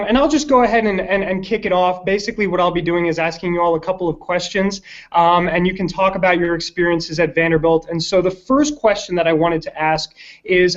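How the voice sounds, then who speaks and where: A man working as an admissions counselor, moderating a student panel on a video call, hesitant with many fillers. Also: a little high. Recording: dull lo-fi video call audio.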